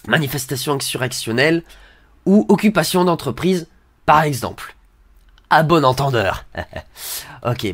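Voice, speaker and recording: A man gives a sarcastic read-along of an article, hesitating often and dropping mock-innocent remarks. His voice sits a little high and has a gravelly, creaky texture. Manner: hesitant, many fillers, mock-naive asides. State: has a cold. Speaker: satirical commentator reading an article aloud.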